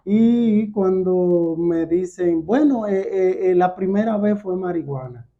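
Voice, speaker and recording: A man with a Dominican accent giving a personal testimony as a guest, halting and repeating words as he goes. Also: sits high. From Dominican Republic. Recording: dull, muffled lo-fi sound.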